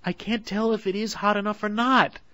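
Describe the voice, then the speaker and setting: Storybook little girl, eager and loving, pitched way up; man voicing her. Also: a little fast, no echo, muffled recording. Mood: excited. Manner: excited, squealing.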